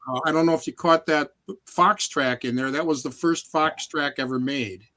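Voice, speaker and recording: A man's voice: a little high, fairly even intonation, moderate pace, sharp tone. A man with a Midwestern American accent, chatting casually and reminiscing as a podcast guest. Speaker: podcast guest, vintage snowmobile collector.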